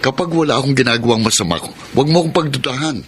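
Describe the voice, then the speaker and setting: An actor playing an evasive, secretive husband who brushes off questions defensively, in classic radio drama style. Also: male voice, mid-range voice, very fast, heavy background noise, lightly acted. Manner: defensive, dismissive.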